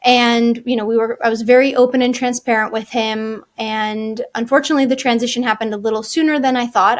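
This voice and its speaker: Architect woman as chatty guest, thirties, slightly high-pitched, loose and rambling. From Czech Republic, raised in California. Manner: chatty, fillers and restarts, frequent "like" and "you know". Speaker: guest, architect and firm founder. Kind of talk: casual interview chat.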